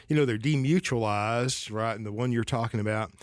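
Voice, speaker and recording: A man's voice: medium pitch, brisk, dry recording. A Southern-accented man speaks in a mid-range voice, wandering through his thoughts, restarting sentences and checking in with "right?". The sound is close and dry, with no room around it. He comes across as a guest advisor chatting casually. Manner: rambling, restarts sentences, asks "right?" often. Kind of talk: casual conversation.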